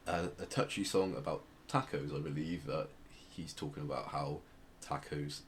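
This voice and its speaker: A man hosting a podcast, explaining things casually in a hesitant, measured, dry way. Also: rumbling low, fairly even intonation. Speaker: podcast host presenting outsider music. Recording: clear background noise.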